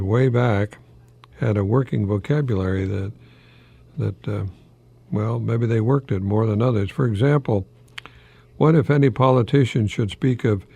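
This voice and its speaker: An older man with a gravelly, husky voice speaks in a close, dry sound. He delivers a folksy radio monologue like a retired English professor, musing aloud, hesitating, repeating himself and putting questions to the listener. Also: mid-range voice, darkish tone, dry recording.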